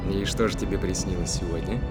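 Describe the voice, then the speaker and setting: A man's voice: low voice, fairly even intonation, fast talker, over loud music, close to an everyday voice. An actor playing an easygoing, teasing spaceship crewman in an audio drama, talking in a casual, bantering way. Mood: relaxed, curious. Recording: long hall reverb.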